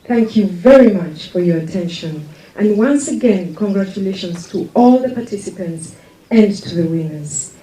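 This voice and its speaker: A woman's voice: mid-range voice, animated, normal speed, mellow. A woman giving a formal prepared speech at a ceremony. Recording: echoey public address heard from far off.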